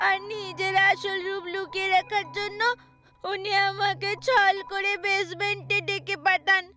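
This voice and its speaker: Lady doing a dead little girl ghost, plaintive, slow n flat, horror story, music behind. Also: very high-pitched. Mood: tearful, accusing. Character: ghost of a murdered young girl, sorrowful, plaintive. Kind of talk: acted horror story dialogue.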